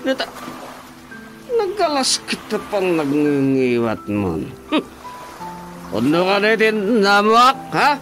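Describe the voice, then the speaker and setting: A man's voice: sits high, wildly swooping pitch, slow pace, long pauses, a bit bright, faint music behind, heavily acted, low-quality recording. A man acting a blustering, evasive husband in a radio drama, stammering and sputtering. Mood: bullying, suspicious. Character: blustering, evasive husband, cowed when confronted.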